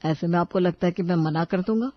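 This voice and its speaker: A woman voicing a frank, self-assured young businessman groom in a storybook tale, speaking in a measured, earnest way. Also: on the low side, dry recording, highs cut off, natural voice. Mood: earnest, confident.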